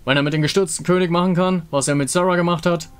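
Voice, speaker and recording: A man's voice: high-pitched, fairly even intonation, quick pace, clean tone, natural voice. A man voicing a pragmatic male warrior leader with a matter-of-fact, persuasive manner in a game story.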